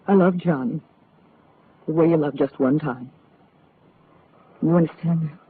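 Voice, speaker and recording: A woman playing a troubled, wistful married woman in distress, speaking softly and mournfully in 1940s radio acting. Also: low-pitched, unhurried. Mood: hushed, wistful. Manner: soft, hushed, mournful. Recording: tinny old radio broadcast, faint hiss.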